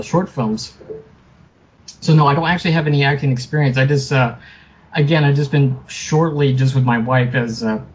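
A man's voice: medium pitch, darkish tone, lo-fi sound. A man chatting casually as an interview guest, rambling and self-deprecating. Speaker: podcast guest, author and film producer.

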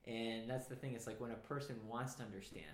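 Host, man, chatty and meandering, mid pitch, roomy sound. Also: fast. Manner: rambling, full of "like" and restarts. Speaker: podcast host. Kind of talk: casual conversation. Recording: roomy echo.

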